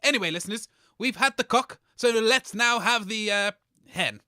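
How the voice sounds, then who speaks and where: A man's voice: high-pitched, normal speed, pushed and bright, no room sound, clean studio sound. A man co-hosting a comedy radio show with showy game show announcer patter.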